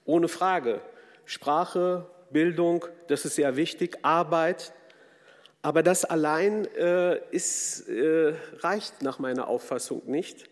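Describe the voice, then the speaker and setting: A man's voice: a little high, takes it slowly. A man from a Kurdish background raised in Germany giving a formal, earnest talk with frequent hesitations. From Kurdish from Turkey, raised in Germany. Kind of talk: formal speech with fillers. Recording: long hall reverb.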